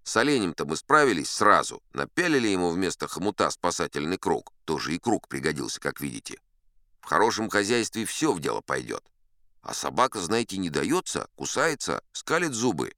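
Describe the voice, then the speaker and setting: An actor playing an old sea captain who spins colourful, self-assured tall tales in a wry, theatrical audiobook storytelling style, smooth and resonant. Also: male voice, low-pitched, big pitch swings, bright, forceful tone, no echo, clean studio sound, exaggerated voice. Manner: wry, unhurried raconteur with dramatic emphasis. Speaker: narrator.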